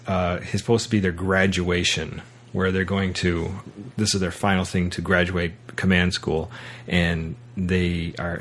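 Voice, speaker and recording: A man hosting a podcast, talking casually about a film and haltingly repeating words as he recaps. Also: deep voice, a little hiss or hum. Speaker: podcast host.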